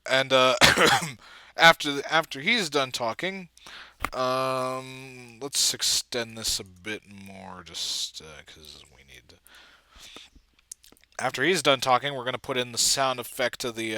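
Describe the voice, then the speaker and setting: Casting: male video editor, very bright and forceful tone, unhurried, halting, casual self-deprecating muttering. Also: medium pitch, no echo. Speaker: video editor and comic dubber. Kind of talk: casual thinking-aloud screen-recording commentary.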